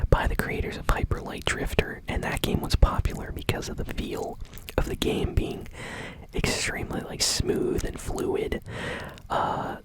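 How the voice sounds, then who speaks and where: A woman hosting ASMR gaming news in a soft whisper, speaking casually and hesitantly, as if unscripted. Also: poor audio.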